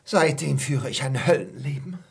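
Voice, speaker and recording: Husky, bitter blustering man. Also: medium pitch, expressive, moderate pace, exaggerated voice. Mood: ranting, outraged. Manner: ranting, whining, indignant. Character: bitter, lovesick, blustering hotel keeper, a middle-aged man. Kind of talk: German radio play acting.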